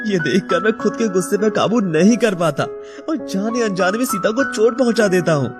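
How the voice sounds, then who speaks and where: An actor playing a troubled, jealous young husband in an audio story drama, earnest and confused. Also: male voice, sits high, a little fast, music in the background, close to an everyday voice. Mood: shocked, pleading. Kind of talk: acted Hindi audio story dialogue.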